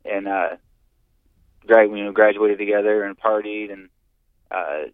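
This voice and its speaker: A man's voice: on the low side, fairly even intonation, clean tone, no room sound. American man on a phone-like line, stammering. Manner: halting, stammering with repeated words. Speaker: radio call-in listener. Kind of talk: casual personal reminiscing. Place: on a phone call to a radio studio. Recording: muffled phone-like call-in line.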